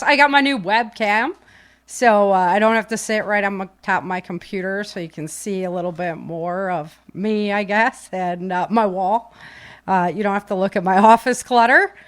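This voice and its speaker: A woman's voice: medium pitch, a bit bright, dry recording. A woman chatting casually and informally as a live podcast host, with breezy, slangy talk. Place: home office.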